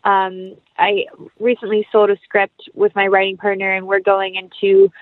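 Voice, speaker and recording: A woman's voice: mid-range voice, monotone, moderate pace, no room sound. Chatty woman on dull lo-fi audio. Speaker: actress, producer and interview guest. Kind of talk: casual interview conversation. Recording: dull, lo-fi, band-limited audio.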